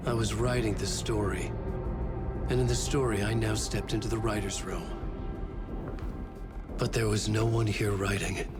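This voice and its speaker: An actor playing a tormented, trapped writer, giving hushed, intense noir-like first-person narration. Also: male voice, on the low side, steady tone, normal speed, long pauses, loud music behind the voice, lightly acted. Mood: brooding.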